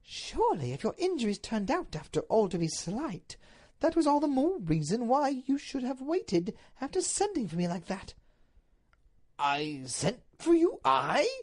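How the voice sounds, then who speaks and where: A woman's voice: fairly high pitch, very animated, measured pace, pitched above the performer's own voice. A woman voicing a bewildered, indignant but doting duke in a storybook reading, with a pompous, emphatic, melodramatic delivery. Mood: bewildered, grave.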